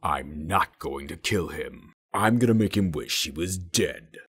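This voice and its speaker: A man playing a cold, threatening masked TV villain in slow, deliberate words, with electronic processing on the voice. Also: expressive, fairly bright tone, exaggerated voice. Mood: cold menace. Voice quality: very deep, processed, rough. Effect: pitch-lowered voice, some with distortion or chorus. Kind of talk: TV supervillain impression.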